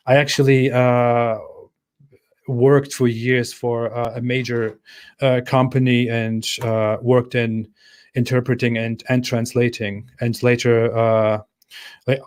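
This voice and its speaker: Man, livestream host, casual Q&A, halting, thinks aloud, mid-pitched voice, reads out chat comments. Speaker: channel host answering live chat.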